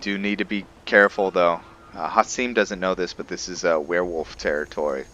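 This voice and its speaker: Deep-voiced man doing casual game commentary. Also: steady tone, a little fast, sharp tone, muffled recording, a little hiss or hum. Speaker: gaming video host.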